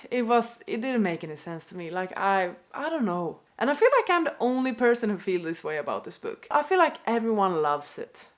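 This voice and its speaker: A young woman with a stuffy nose from a cold, chatting casually to camera in an unscripted rant, apologetic and full of "like" fillers. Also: lowish voice. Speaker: book vlogger.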